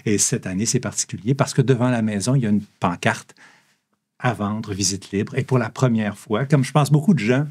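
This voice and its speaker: A man with a Québécois accent, a comic book author chatting in a relaxed, rambling way with lots of fillers. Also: lowish voice, fairly quick, breathy edge. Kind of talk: casual interview talk.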